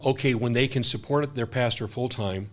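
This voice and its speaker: A man's voice: medium pitch, moderate pace. An older man, a missionary guest, talking earnestly in casual conversation, often restarting his sentences.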